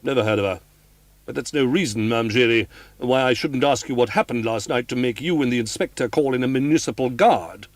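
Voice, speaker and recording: A man voicing an irate, pompous male opera manager who shouts and blusters in an audiobook reading. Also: mid-range voice, a little fast, no echo, lightly acted. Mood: stern.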